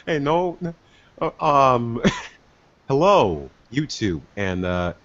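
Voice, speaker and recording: A man's voice: medium pitch, sing-song, slow, a bit bright. A young man hosting a casual live podcast, giggly and hesitant with lots of fillers. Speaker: podcast host. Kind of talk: casual live-stream chat. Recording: dull lo-fi stream audio.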